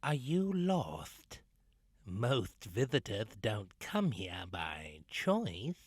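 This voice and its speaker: Menacing snake, hushed, slow, teasing, lively pitch swings, audio drama. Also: slightly rough, dry recording, clean studio sound, exaggerated voice. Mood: sly, menacing. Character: sly, menacing snake who prefers solitude.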